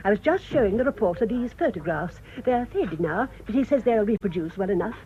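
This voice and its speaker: An actress playing a genteel, self-important older spinster with an English accent, speaking precisely and fussily in a radio drama. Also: female voice, medium pitch, expressive, fairly quick, dark tone, lightly acted. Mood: pleased. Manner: precise, formal, fussy. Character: prim, genteel, self-important spinster tea-shop owner. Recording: dull, band-limited radio drama recording.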